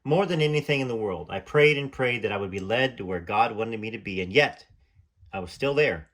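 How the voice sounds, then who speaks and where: A man's voice: lowish voice, lively intonation, a little fast, a bit bright. A man reading aloud from a memoir in an earnest tone, stopping now and then for chatty, hesitant asides. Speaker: channel host reading from a memoir.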